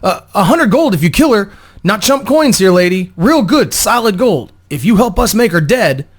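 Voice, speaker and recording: A cowardly young street thug, acted out by a man, pitched well above a normal male voice. He bargains desperately in slangy, broken grammar, like a character in a fantasy audiobook. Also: big pitch swings, normal speed. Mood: scared, desperate. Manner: pleading, slangy, ungrammatical. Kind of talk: dramatic fantasy story reading.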